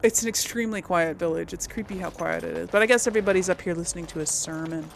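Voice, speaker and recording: A woman's voice: on the low side, quick pace, gravelly, a little hiss or hum. A woman doing casual let's play commentary, chatting and musing aloud as she plays. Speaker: game streamer, role-player. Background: game ambience.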